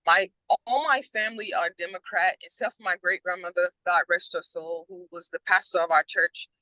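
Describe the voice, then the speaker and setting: A woman's voice: lowish voice, bright, forceful tone, no echo, low-quality recording. A woman from the American South arguing insistently as a panelist on a remote call from her car. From southern United States. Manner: insistent, repeats "you understand?". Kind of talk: heated panel debate.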